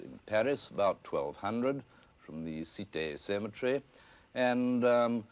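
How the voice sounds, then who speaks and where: A middle-aged English man, a scholar being interviewed, explaining in a halting, hesitant but precise manner. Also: mid-range voice, darkish tone. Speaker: academic anthropologist being interviewed. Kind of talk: unscripted expert explanation. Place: stone church crypt. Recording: dull, band-limited archive film sound.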